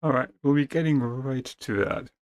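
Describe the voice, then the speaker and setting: A man's voice: medium pitch, steady tone, moderate pace, clean tone, dry recording. A man chatting casually as a game streamer, talking to his viewers. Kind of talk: casual live stream chat.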